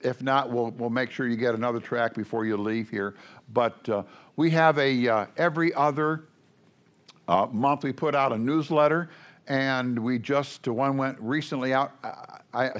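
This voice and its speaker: An older man preaching to a congregation in a loud, folksy, joking way, rambling with many fillers. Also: mid-range voice, normal speed.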